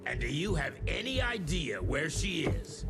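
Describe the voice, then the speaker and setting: An actor playing a crude, imperious older government official in a video game, pompous and sardonic in manner. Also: male voice, fairly high pitch, wildly swooping pitch, measured pace, vocal fry, over music, full character voice. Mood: irritated. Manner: pompous, foul-mouthed, sardonic. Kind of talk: dramatic video game acting.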